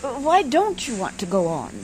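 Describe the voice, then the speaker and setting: An actress plays a genteel, eager and strong-willed spinster in a radio play. Her proper, effusive speech is high-pitched and highly animated, with a faint hiss behind it. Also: moderate pace. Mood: indignant, determined. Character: prim, devout, eager, strong-willed spinster lady adventurer. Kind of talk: old-time radio drama acting.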